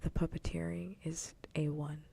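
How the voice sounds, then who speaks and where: Low, whispering woman with creaky edges. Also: flat delivery, normal speed. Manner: soft-voiced, mostly whispering, giggly. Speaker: livestreamer host. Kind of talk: whispered ASMR casual chat. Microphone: close ASMR microphone. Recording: faint background noise, low rumble.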